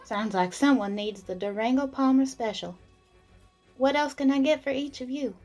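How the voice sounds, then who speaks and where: Fantasy tavern waitress, female actor, courteous and chatty, higher-pitched, music bed behind. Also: moderate pace, a little mellow. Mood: chatty, attentive. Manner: polite, chatty, attentive. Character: busy, courteous young tavern serving woman. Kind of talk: fantasy audio drama acting. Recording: background music under the voice.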